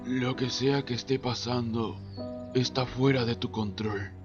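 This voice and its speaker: A man performs a concerned, fatherly cartoon character with measured, formal delivery. His voice sits mid-range and moves very slowly. Music plays clearly behind a slightly dull recording. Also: mid-range voice, fairly even intonation, close to an everyday voice. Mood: gentle, reassuring. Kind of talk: Spanish fandub voice acting.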